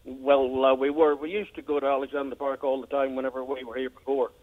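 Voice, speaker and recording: An older man with a Northern Irish accent, a harness racing trainer, answering in a laconic, halting way with a dry sense of humour. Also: mid-range voice, flat delivery. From Northern Ireland. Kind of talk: phone interview. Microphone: phone. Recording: tinny phone line.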